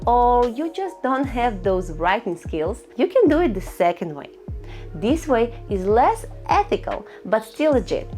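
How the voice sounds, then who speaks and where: Female tutorial host, fairly high voice, instructional and a bit cheeky, soft music bed. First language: Russian. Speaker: online income tutorial host. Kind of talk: screen-recorded tutorial voice-over.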